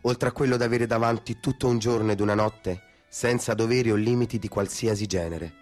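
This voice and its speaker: A man's voice: lowish voice, steady tone, a little fast, a little mellow. A man reciting poetic, scripted narration for a radio play in a theatrical style, with music behind him.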